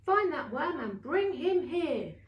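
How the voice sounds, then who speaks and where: A woman's voice: high voice, sing-song, slow pace, dull audio, heavily acted. A woman voicing a bossy, menacing male wizard lizard in a children's storybook, with a commanding, threatening manner. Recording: roomy, distant mic, faint noise.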